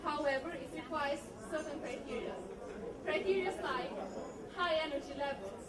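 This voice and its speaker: A woman's voice: high voice, animated, normal speed, heavy background noise. Young woman giving a formal speech in an echoey hall. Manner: earnest, formal, reading from notes. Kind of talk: prepared speech at a party. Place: large hall at a party. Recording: echoey hall, mic far off, loud crowd.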